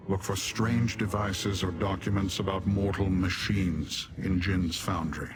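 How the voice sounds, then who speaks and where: Casting: imperious demon warlord for a fantasy game, very low voice, deadpan disdain, formal diction, music underneath. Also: male voice. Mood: cold, businesslike. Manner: formal, condescending, deadpan, measured. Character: haughty male Dremora demon warrior commander, coldly disdainful of mortals. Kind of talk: video game character dialogue.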